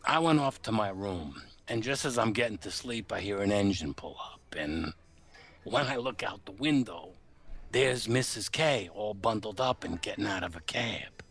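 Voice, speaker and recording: An actor playing an uneasy working-class chauffeur in a noir radio play, confiding in colloquial, hesitant speech. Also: male voice, fairly low pitch, lively intonation, normal speed, fairly bright tone, slightly rough, exaggerated voice. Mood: bewildered.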